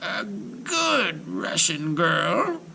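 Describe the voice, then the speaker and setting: A man voicing a bad-tempered, menacing old witch woman from a storybook, with a shrill, strained, snarling, drawn-out delivery. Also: big pitch swings, vocal fry, pushed and bright, slight background noise, exaggerated voice. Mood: disgusted. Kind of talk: storybook read-aloud character voice.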